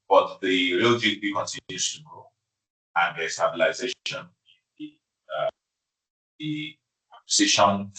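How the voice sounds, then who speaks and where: A man, speaking as an executive presenting financial results in a formal, halting, measured way. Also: deep voice, pushed and bright. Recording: distant, echoey conference call audio.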